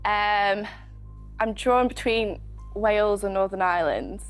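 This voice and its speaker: A woman's voice: mid-range voice, unhurried, soft background music. A woman of twenty, a medical student competing on a quiz show, giggling and thinking aloud as she hesitates. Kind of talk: game show chat.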